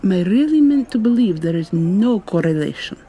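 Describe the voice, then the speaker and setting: A woman playing an articulate, probing young female vampire student in an ASMR roleplay, soft-spoken and questioning, with noise in the recording. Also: medium pitch, expressive, brisk, husky. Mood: probing, earnest. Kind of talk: ASMR roleplay, intimate conversational acting.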